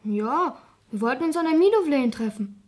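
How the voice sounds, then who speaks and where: A cheeky young schoolgirl in a radio crime play. Her voice is high and very lively in melody, with a sullen, blunt, evasive way of answering. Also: moderate pace. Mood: defiant, cheeky. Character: sullen, cheeky twelve-year-old schoolgirl, defiant. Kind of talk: German radio crime drama acting.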